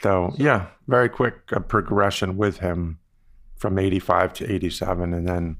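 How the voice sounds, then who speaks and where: A man in his sixties from the Bahamas, telling stories about his career in a measured, reflective way, as an interview guest. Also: low voice, fairly even intonation. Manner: measured, reflective, with frequent "you know" asides. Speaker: guest, investment firm founder. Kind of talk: relaxed storytelling interview answers.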